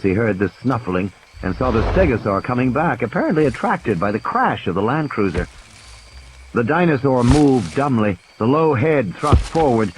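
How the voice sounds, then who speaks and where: A man narrating an audio play in a dramatic, suspenseful and deliberate storytelling style. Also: medium pitch, slight background noise, poor audio. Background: cricket-like night ambience sound effects.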